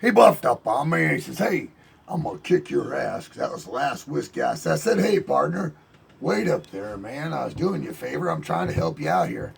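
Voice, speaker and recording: A man's voice: mid-range voice, very animated, a little fast, vocal fry, slightly rough. A man telling a rambling, boastful tall tale in a folksy drawl, as if chatting on a porch. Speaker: storyteller in character. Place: outdoors on a porch.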